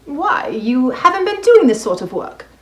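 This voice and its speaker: A woman's voice: high-pitched, sing-song, unhurried, a bit bright, reverberant, exaggerated voice. A woman playing a prim, reserved, genteel lady librarian in a dramatized novel, speaking politely and properly. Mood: politely curious.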